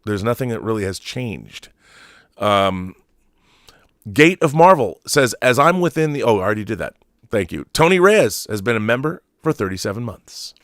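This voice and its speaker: A man's voice: on the low side, very animated, moderate pace, a bit bright, no echo, clean studio sound. A man in his fifties hosting a casual livestream, commenting and reading out messages in an opinionated, emphatic way, repeating himself for emphasis. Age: 50s. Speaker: livestream host.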